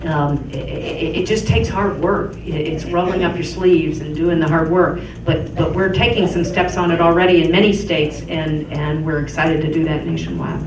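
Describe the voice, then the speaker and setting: A woman's voice: low-pitched, expressive, moderate pace, hardly any pauses. A middle-aged woman giving unscripted campaign remarks at a town hall, stumbling through many fillers and restarts. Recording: echoey room, distant mic, noisy.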